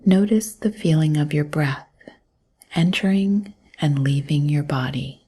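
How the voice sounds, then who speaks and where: A woman guiding a mindfulness meditation in a soft, breathy voice, gently and calmly, with long pauses between instructions. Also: sits low. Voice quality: soft, breathy, often near a whisper. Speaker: meditation guide. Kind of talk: guided mindfulness meditation, scripted narration.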